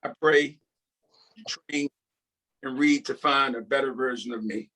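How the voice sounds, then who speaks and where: A man reading the part of a repentant, humble prison inmate, earnest and deferential, in a halting script table read. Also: medium pitch, steady tone, pushed and bright, low-quality recording. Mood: earnest, respectful. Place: video call.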